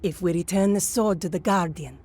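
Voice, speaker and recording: A woman's voice: lowish voice, fairly quick, darkish tone, breathy edge. A woman voicing a firm, commanding warrior in a game cutscene. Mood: stern. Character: stern warrior woman guide.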